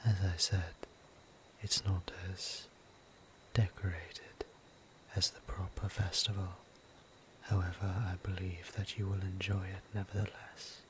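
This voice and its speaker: A man playing a gentle, courteous vampire priest in an intimate ASMR roleplay, speaking formally and tenderly in a hushed whisper with deliberate pauses. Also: sits low, little pitch movement, rough recording. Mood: courteous, inviting. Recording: clear ambient background noise.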